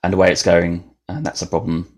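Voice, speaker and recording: A man's voice: low-pitched, little pitch movement, fast talker, a little mellow. A man hosting a podcast, speaking in a casual, agreeable conversational way. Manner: agreeable, frequent short affirmations. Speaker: podcast host.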